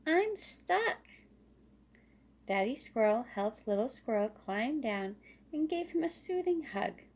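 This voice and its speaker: A woman reading a children's story aloud as scripted narration, expressive and deliberate. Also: fairly high pitch.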